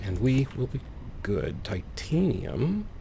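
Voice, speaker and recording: A man's voice: medium pitch, wildly swooping pitch, slow, gravelly, dark tone. A man giving casual, playful gameplay commentary as a let's play host, rambling with wry asides. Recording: faint background noise.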